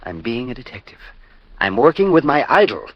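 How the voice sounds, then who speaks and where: A man's voice: mid-range voice, big pitch swings, a little fast, no room sound. An actor playing a fussy, rambling, easily sidetracked male collector in a 1950s radio drama, dithering and fawning. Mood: excited, dreamy. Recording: dull vintage radio recording.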